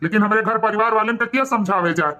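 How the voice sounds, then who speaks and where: A man's voice: high voice, fast, sharp tone. A man voicing emphatic, argumentative village family men with an Awadhi accent in a cartoon. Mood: resentful. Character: village family men, stern old patriarch and grumbling son.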